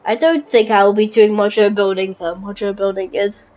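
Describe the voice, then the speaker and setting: A woman with a blocked nose from a cold, giving casual, halting commentary over a building game, with lots of fillers and restarts. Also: medium pitch, little pitch movement, darkish tone. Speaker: gameplay video creator.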